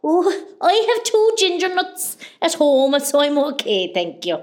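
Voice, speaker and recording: Woman acting melodramatic irish mam in a radio play, west cork, high and very up and down, chatty. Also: big pitch swings, unhurried. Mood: chatty, dramatic. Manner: nosy, melodramatic, folksy. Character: chatty, dramatic Irish mother, patient groggy after surgery.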